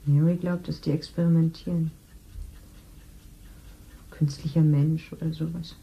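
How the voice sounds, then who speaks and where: A woman's voice: low voice, level tone, unhurried, creaky, highs cut off, slight background noise. An actress in her thirties playing a matter-of-fact medical assistant in a 1970s radio play. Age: 30s.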